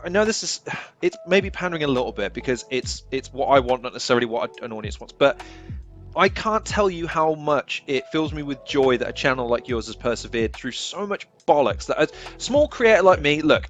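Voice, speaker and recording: A man in his thirties hosts a casual video-call chat over a headset mic. He gushes, swears freely and swings into loud outbursts, his voice middling in pitch. Faint music sits behind him. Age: 30s. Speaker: podcast host. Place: small home room.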